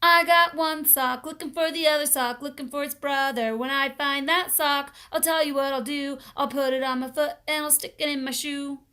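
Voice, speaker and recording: A woman voicing an excitable, boastful schoolboy from a storybook, emphatic and exclaiming. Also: sits high, moderate pace, hardly any pauses, voice pushed up. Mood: excited, singing. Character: excitable, boastful schoolboy eager to tell stories.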